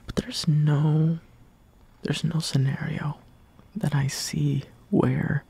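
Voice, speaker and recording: A young man performing an intimate roleplay monologue as a lovestruck, insecure friend, in a hesitant, breathy half-whisper that trails off. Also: a little high, little pitch movement, takes it slowly, warm tone. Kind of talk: soft intimate roleplay monologue, partly improvised.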